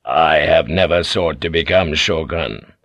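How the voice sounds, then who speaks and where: A man voicing a calm older warlord who speaks deliberately and with quiet command. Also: very deep voice, dry recording, muffled recording, voice pushed down, heavily acted. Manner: deliberate, controlled.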